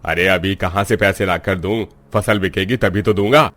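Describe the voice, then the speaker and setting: A man voicing a gruff, dismissive village man in a cartoon story. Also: low voice, moderate pace, fairly bright tone. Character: stingy landlord and envious farmer in village. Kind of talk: Hindi cartoon dialogue.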